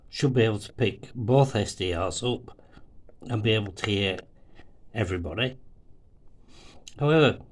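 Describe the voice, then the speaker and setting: A man's voice: fairly low pitch, fairly bright tone. A man presenting an amateur radio tutorial, explaining methodically step by step over a demonstration.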